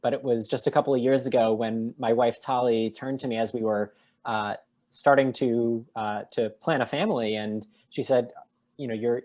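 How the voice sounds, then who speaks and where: A man answering an interview question over a video call, thoughtful, with many pauses and restarts. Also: mid-range voice, a little mellow. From United States. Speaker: guest translator.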